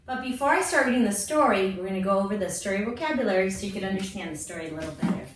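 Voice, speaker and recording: A woman's voice: mid-range voice, animated, rapid-fire, heavy reverb, background noise. A young woman talks to children as their teacher, introducing a lesson. The room rings heavily around her voice. She is picked up from some distance by the microphone. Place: small indoor classroom-style room.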